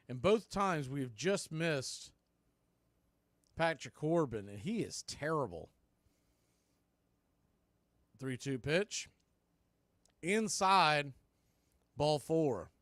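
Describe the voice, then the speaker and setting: A man calling live baseball on the radio, mixing clipped pitch calls with conversational, partisan asides. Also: big pitch swings, slow pace, long pauses, a bit bright, no echo. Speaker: baseball radio play-by-play announcer. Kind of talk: live sports commentary.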